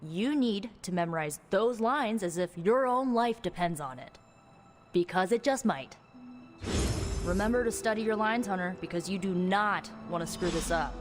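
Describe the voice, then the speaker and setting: An actress playing a quick-witted, sardonic young sorceress in a video game, talking in a casual, halting, anxious way. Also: female voice, medium pitch, lively intonation, long reverb tail, music in the background, natural voice. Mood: urgent, instructing. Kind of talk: video game cutscene dialogue.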